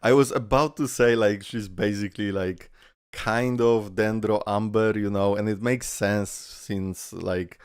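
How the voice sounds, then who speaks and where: A man whose first language is Polish, hosting a casual game reaction video and talking in an excitable, rambling way full of fillers. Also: low voice, clear, resonant tone, no echo, clean studio sound.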